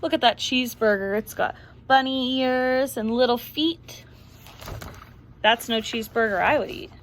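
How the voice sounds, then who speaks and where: A woman's voice: fairly high pitch, a little slow, a bit bright. A woman reading a children's picture book as a chatty male wolf who justifies himself and tells his side. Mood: self-justifying. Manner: chatty, self-justifying storytelling.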